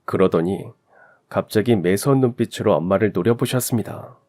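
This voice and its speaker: A man's voice: fairly low pitch, moderate pace, dark tone, soft background music. A man reading a scripted first-person story in the style of a radio drama. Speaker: narrator of a story channel.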